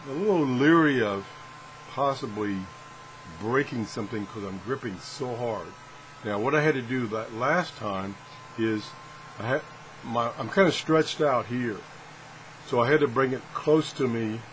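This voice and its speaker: A man with a mid-pitched voice gives a casual, hands-on gadget demonstration in his workshop. He rambles in a folksy, self-deprecating way. Steady fan and room noise sits clearly behind him. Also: rough recording. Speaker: video host reviewing gadgets. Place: home workshop, indoors.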